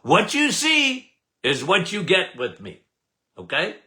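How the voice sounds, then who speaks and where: An older man from Canada hosting a podcast, loud and emphatic, with a habit of repeating "okay?". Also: sits high, huge pitch swings, takes it slowly. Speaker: podcast host. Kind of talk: casual live talk.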